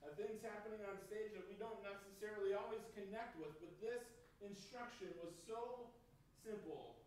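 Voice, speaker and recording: A man's voice: high voice, normal speed, a little mellow. A man preaching a sermon to a congregation in a plain-spoken, conversational way, using short, simple phrases. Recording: roomy echo, distant mic, faint noise.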